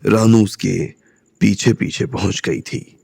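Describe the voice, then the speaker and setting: A man narrates a scripted audio story in a low, gravelly voice. His delivery is very unhurried and measured, like an audiobook reader telling a tale. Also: steady tone, mellow, no echo, clean studio sound.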